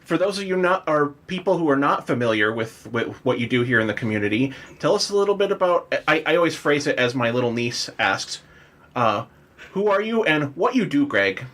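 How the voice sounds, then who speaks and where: A man's voice: a little high, very animated, fairly quick, fairly bright tone. A man hosting a podcast in a casual interview style, chatty and enthusiastic in manner. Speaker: podcast host.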